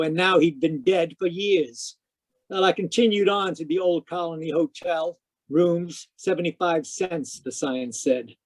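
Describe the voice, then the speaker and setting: An actor playing a wry, inquisitive male private detective in a radio drama, telling his story in hard-boiled style. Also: sits high. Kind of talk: radio detective drama, first-person narration and dialogue. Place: live remote performance over video call.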